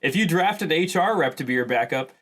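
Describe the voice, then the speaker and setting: Male podcast panelist, slightly high voice, improvised comic pitch with glib corporate patter. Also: lively intonation, fairly quick, fairly bright tone.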